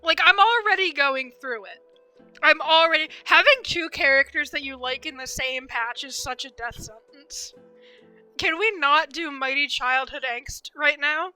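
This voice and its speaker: A woman streaming a game, giving casual, rambling live commentary with animated fan reactions. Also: sits high, a little slow, soft background music, poor audio.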